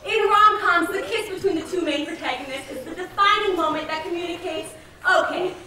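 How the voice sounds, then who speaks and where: A young woman playing a prim, mock-serious romance scientist in a comic stage lecture, projecting crisp, clinical declarations. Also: very high voice, a little fast. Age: young adult. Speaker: stage actor presenting rules of rom-coms. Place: large indoor theater stage. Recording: echoey theater from far off, noisy audience.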